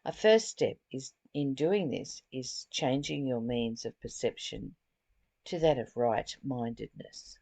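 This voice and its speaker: A woman leads an informal spiritual study in a low voice with noticeable fry. She takes her time, moving between deliberate reading and chatty commentary. The sound is close and dry. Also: lively intonation, a little mellow, dry recording. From Australia. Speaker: spiritual study host reading and explaining a book.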